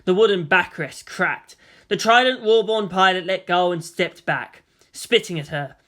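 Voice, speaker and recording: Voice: mid-range voice, measured pace, fairly bright tone. A narrator reading an action story aloud in a dramatic, scripted storytelling style.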